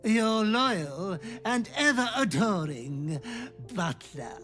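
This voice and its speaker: An actor voicing a fawning, gleefully depraved fiendish butler creature in a video game, theatrical and florid. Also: male voice, high-pitched, very animated, takes it slowly, fairly bright tone, soft background music, heavily acted. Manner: theatrical, obsequious, florid wording. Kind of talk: video game character acting.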